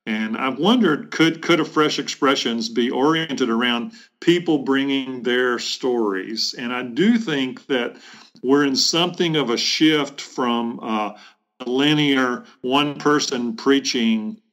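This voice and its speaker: Halting, reflective middle-aged man. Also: mid-range voice, a bit bright. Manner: halting, reflective, measured phrasing. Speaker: bishop, podcast guest. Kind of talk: thoughtful interview conversation.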